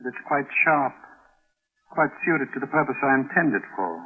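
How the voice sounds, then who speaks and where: A man's voice: mid-range voice, expressive, normal speed, breathy edge. An actor playing a menacing, self-justifying middle-aged stepfather in an old-time radio thriller, insistent and coldly formal. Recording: tinny telephone-like old radio recording.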